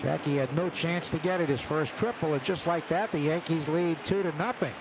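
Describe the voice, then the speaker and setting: A man's voice: a little high, fairly quick. A man doing live radio baseball play-by-play in clipped short phrases. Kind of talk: live radio sports commentary. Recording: noisy outdoor ballpark radio broadcast. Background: steady ballpark noise.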